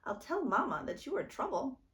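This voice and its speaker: A woman's voice: high voice, very animated, a little fast, fairly bright tone, distant mic, pitched above the performer's own voice. A woman voicing a young girl, a responsible and bossy but caring older sister, urging firmly in a storybook. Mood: impatient.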